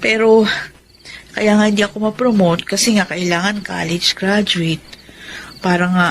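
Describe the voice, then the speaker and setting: An actress with a Filipino accent playing an ambitious, hesitant young factory worker in a radio drama, speaking in an emphatic, conversational way. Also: female voice, mid-range voice, fairly even intonation, normal speed, close to an everyday voice, low-quality recording. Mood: earnest, conflicted. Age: young adult. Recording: faint background noise.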